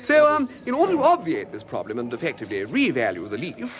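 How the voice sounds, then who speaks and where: An actor playing a pompous, blithely absurd bureaucrat in a comic science fiction radio drama, hesitant and smugly reasonable. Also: male voice, a little high, wildly swooping pitch, quick pace, noisy background. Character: pompous, blithely absurd male bureaucrat explaining crazy policy.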